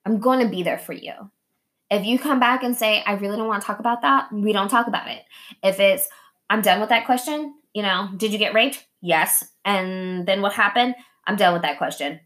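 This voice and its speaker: A woman's voice: medium pitch, normal speed, fairly bright tone. A woman hosting a podcast in casual, candid conversation, blunt and swearing freely, acting out imagined dialogue as she talks. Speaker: podcast host.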